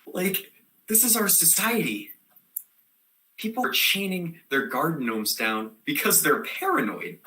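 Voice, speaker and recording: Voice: mid-range voice, lively intonation, unhurried, bright, forceful tone. An actor playing a scatterbrained, defiant theater student telling a comic monologue in slangy, rambling style, given to sudden outbursts. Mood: animated storytelling. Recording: echoey room.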